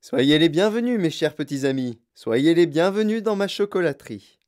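A storyteller voicing a sprightly, mischievous old chocolatier with a clear, fluty voice, excitable and effusively polite, in an audiobook. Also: mid-range voice, normal speed, close to an everyday voice. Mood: welcoming. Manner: excitable, repeats himself in courteous bursts.

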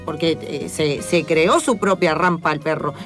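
A woman's voice: deep voice, measured pace, soft background music. A woman playing an indignant, stammering neighbor with a grudge in an improvised comic radio play, with an Argentine accent. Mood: indignant, halting. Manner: stammering, repetitive, exasperated.

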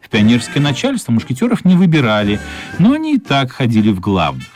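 A man narrating a children's radio play as a wry storyteller with a husky voice. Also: mid-range voice, huge pitch swings, a little fast, a little mellow, soft background music. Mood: storytelling.

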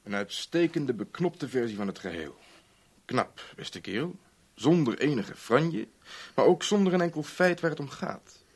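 An actor playing a sly, urbane chief inspector in a radio play, breezy and teasing in manner. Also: male voice, medium pitch, lively intonation, unhurried, slightly rough. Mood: dryly teasing. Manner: breezy, teasing, evasive old-boy chattiness. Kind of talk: Dutch radio play acting.

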